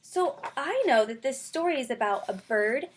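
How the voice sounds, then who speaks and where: A woman's voice: sits high, lively intonation, moderate pace, slight background noise. A woman kindergarten teacher giving a read-aloud lesson, speaking simply and instructively and addressing young children directly. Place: bedroom at home.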